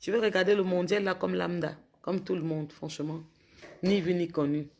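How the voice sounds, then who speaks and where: Hoarse woman scolding live viewers. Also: fairly low pitch, steady tone, normal speed, darkish tone. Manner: indignant, scolding commenters directly. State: sick with a cold, a bit hoarse. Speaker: social media livestreamer. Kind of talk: casual livestream talk to viewers.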